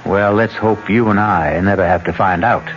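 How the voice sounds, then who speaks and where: A man hosting and narrating a 1970s radio mystery with dramatic, measured storytelling. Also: on the low side, steady tone, soft background music. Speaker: host and narrator. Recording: dull 1970s radio recording.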